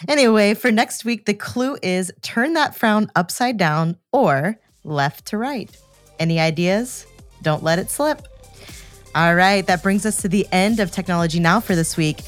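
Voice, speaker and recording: A woman presents on a podcast in a slightly low voice. The sound is dry and close. She moves between scripted reading and casual, expressive banter. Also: normal speed, no room sound, soft background music. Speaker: podcast co-host.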